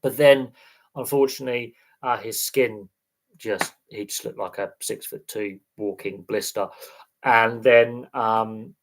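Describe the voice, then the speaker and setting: A man hosting a casual livestream, talking in a rambling, halting way with frequent restarts and a slightly congested, sniffly voice. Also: fairly low pitch, steady tone, fairly bright tone. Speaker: sports channel host.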